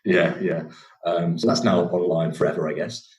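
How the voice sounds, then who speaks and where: A man's voice: deep voice, normal speed. A young man from England with a northern English accent, a podcast guest telling his story casually, rambling with many fillers and restarts.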